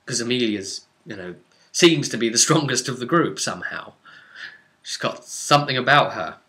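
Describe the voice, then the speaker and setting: Bright, forceful British man telling game tales. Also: mid-range voice, animated, normal speed. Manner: dramatic, exclamatory, with asides and rhetorical questions. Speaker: game master recapping a tabletop role-playing session. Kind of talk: animated informal storytelling to camera.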